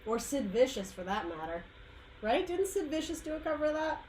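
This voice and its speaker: A woman's voice: high voice, very animated, a little fast, darkish tone, slight background noise. A woman giving a casual tarot reading, her throat dry and her voice going, rambling and searching for words. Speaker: tarot reader.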